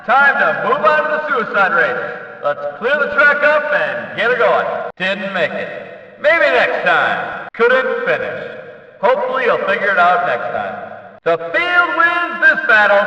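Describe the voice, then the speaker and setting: A rowdy, excitable male race announcer for a demolition derby video game. His voice is pitched very high and cuts through with a very forceful, bright edge. He punches and stretches words for drama, ringing out in heavy stadium-style echo. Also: heavily acted. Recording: very echoey, distant, slightly dull.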